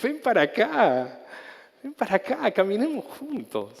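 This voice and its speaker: A male pastor with a Chilean accent, preaching with passion, repeating himself and restarting phrases, then praying softly and intimately. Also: high-pitched, huge pitch swings, measured pace, creaky, big-room sound. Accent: Chilean Spanish. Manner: impassioned preaching with repetitions and restarts; soft, intimate prayer. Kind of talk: sermon ending in prayer.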